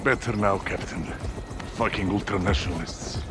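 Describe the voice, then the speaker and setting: Man acting bitter weary male soldier, lowish voice, terse, game cutscene, very noisy background. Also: moderate pace, lightly acted. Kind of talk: dramatic military video game acting. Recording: loud background noise.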